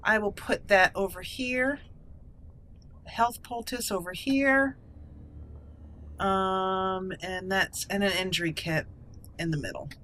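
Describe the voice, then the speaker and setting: A woman's voice: medium pitch, slow, pauses often, a bit bright, a little hiss or hum. A woman giving roleplay commentary on a video game, thinking aloud as she goes. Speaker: gaming channel host.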